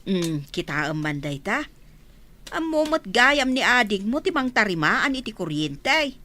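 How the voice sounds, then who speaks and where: A woman's voice: medium pitch, very animated, a little slow, sharp tone. A woman playing a worn, nagging mother of a struggling village household in a comedy radio drama. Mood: fretful.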